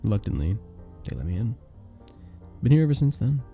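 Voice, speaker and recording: Guy playing a sweet flirty vampire bartender, asmr roleplay, super mellow dark voice w some gravelly fry, soft-spoken n a bit hesitant. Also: fairly low pitch, big pitch swings, brisk. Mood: wistful, reluctant. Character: charming, sweet, earnest male vampire bartender. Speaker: audio roleplay performer. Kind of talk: ASMR romantic roleplay acting. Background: soft background music.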